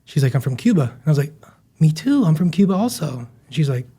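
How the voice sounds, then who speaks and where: A man's voice: fairly high pitch, big pitch swings, fast, dark tone, husky. Guy in his 30s from upstate new york, podcast guest, chatty storyteller, pokes fun at himself. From western New York, USA. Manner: storytelling, self-deprecating, chatty. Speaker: guest, chef and business founder. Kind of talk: casual interview chat.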